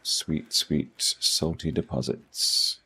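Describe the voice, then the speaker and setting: A man's voice: extremely low pitch, fairly even intonation, takes it slowly, bright, forceful tone, husky, rough recording. A middle-aged man with a posh English accent, chatting casually with viewers while playing a game, rambling with dry self-deprecating humour. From England. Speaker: gaming streamer.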